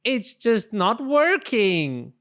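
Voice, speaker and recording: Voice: mid-range voice, sing-song, drawn-out words, fairly bright tone, voice pushed up, exaggerated voice. A storybook reader voicing childlike little alphabet letters, earnest, exclaiming and easily upset. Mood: frustrated, upset. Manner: plaintive, exclaiming.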